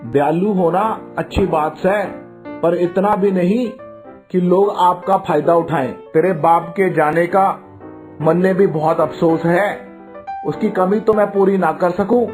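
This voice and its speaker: Man acting a gruff Haryanvi uncle. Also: sits high, unhurried, darkish tone, soft background music. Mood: earnest, fatherly. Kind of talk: Hindi cartoon voice acting.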